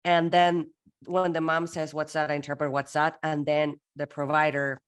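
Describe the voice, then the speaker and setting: Woman from Peru, low voice, lively workshop presenter who acts out exchanges. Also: normal speed, smooth. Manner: lively, playful teaching with acted-out dialogue.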